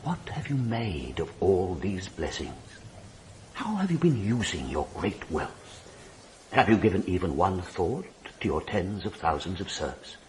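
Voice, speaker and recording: Grave elder, radio drama, low voice, dramatic intonation, reproachful, formal, faint background noise. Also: male voice, sing-song, unhurried, a little mellow, rough recording. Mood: stern reproach. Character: stern, moralizing elder Freemason mentor. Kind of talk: British radio drama acting.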